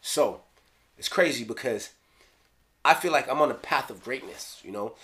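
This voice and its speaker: A Jamaican man in his thirties casually explaining his own rap lyrics in a small room, playful and slangy, sometimes dropping to a hush. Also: medium pitch, moderate pace, faint music behind. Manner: playful, slangy, drops into hushed asides. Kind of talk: casual solo video commentary. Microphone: studio mic on stand.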